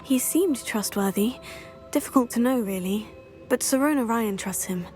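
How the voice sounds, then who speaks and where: A woman's voice: fairly high pitch, moderate pace, a little mellow, lightly acted. A woman playing an earnest, polite teenage witch student in a video game. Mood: composed, earnest. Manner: polite, composed. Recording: music under the voice.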